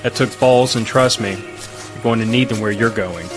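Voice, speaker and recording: An actor playing a shrewd, steady military commander in an audio drama, giving terse, authoritative orders. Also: male voice, lowish voice, moderate pace, lightly acted. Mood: firm, measured. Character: shrewd, steady male military commander in post-disaster America. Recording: loud dramatic music underneath.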